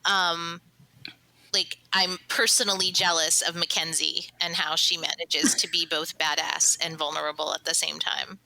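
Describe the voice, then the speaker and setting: Very bright, crisp-edged woman in casual chat. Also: fairly low pitch, level tone, normal speed, a little hiss or hum, poor audio. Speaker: voice actor on a cast panel.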